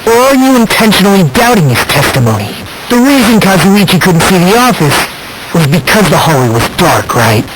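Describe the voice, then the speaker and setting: A man's voice: high voice, background noise. A man voicing a polite, unsettling teenage boy in a video game, with theatrical, needling questions. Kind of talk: English video game voice acting.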